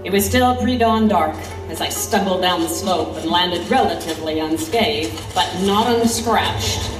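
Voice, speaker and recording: A woman's voice: lowish voice, measured pace, lightly acted. A woman playing an intrepid reporter who recounts her adventure in wry, vivid audio drama narration. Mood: wry, reflective. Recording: roomy, slightly distant over music.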